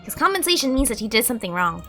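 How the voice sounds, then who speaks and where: A woman's voice: a little high, sing-song, racing, fairly bright tone. A woman doing casual let's play commentary, chatty and rambling, often correcting herself. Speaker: gaming streamer. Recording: music playing behind.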